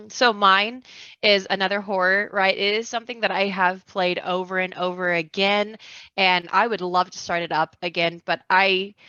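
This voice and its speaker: A woman's voice: mid-range voice, steady tone, normal speed, bright, forceful tone, smooth, no echo. A woman guesting on a podcast, chatting in an informal, rambling way. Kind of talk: casual podcast chat. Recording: dull, lo-fi microphone audio.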